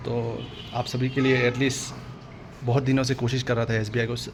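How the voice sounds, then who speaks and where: Indian male online host, casual and repetitive, limited pitch movement, faint noise behind. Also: flat delivery, normal speed. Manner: repetitive verbal tags seeking agreement. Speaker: exam-update YouTube host. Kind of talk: casual direct address to viewers.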